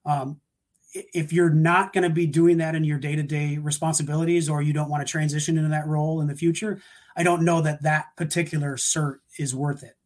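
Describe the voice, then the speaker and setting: A man talking through career advice in a relaxed, thoughtful way, with frequent restarts and fillers. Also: on the high side. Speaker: guest, security practitioner. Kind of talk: casual panel talk.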